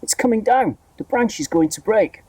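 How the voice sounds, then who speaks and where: A man voicing a greedy, excitable fat middle-aged aunt in a storybook, with gushing, shouted exclamations. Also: high voice, normal speed, no room sound, far from the mic, pitched above the performer's own voice, rough recording. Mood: panicked.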